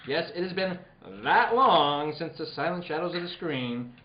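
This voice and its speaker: Man as animated old-time radio announcer. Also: fairly high pitch, moderate pace, roomy sound, lo-fi sound, lightly acted. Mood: grand. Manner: grandly emphatic, salesman-like. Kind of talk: 1940s radio announcing, scripted ad read.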